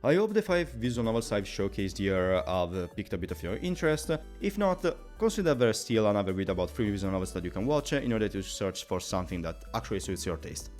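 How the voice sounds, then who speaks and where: Fast, lively man narrating a scripted review. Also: lowish voice, soft background music. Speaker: video game reviewer.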